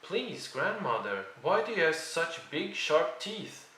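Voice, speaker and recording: Man voicing a timid little girl, pitched up. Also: very animated, slow, bright, forceful tone, heavily acted. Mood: timid wonder. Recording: roomy, distant microphone.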